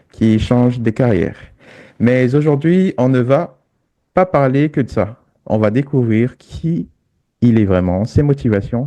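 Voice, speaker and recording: A man's voice: medium pitch, dark tone, no room sound. A man hosting a podcast, introducing and interviewing his guest in a conversational way. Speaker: podcast host.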